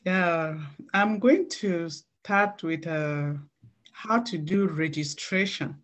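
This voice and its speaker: A middle-aged woman giving an earnest, halting personal testimony before a training presentation. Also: lowish voice. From Cameroon.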